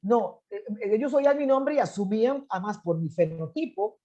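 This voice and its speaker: A woman's voice: a little high, animated, moderate pace, warm tone, lo-fi sound. A middle-aged Costa Rican woman, an anthropologist and poet, telling family history in an interview, with many restarts and "este" fillers. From Costa Rica. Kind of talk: conversational storytelling.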